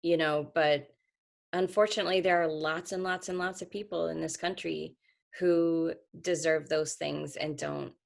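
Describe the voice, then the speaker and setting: A woman with a low, gravelly voice talks as an author on a video call. She speaks earnestly and reflectively, often restarting her sentences. Her tone sits deep in her range. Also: fairly even intonation, normal speed. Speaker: author being interviewed.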